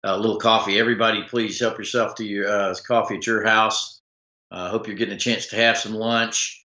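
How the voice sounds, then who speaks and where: A man hosting a casual sports talk stream, rambling with many fillers and restarts, his voice slightly rough. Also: fairly low pitch, flat delivery, a little fast, bright, forceful tone, echoey room, lo-fi sound. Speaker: sports talk show host. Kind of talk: casual live-stream commentary reading viewer comments.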